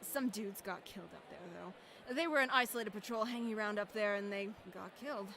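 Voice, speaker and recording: A woman's voice: mid-range voice, brisk, vocal fry, sharp tone, a little hiss or hum. A woman playing a blunt, street-smart local in a visual novel, speaking in a slangy, matter-of-fact way. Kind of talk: visual novel character dialogue.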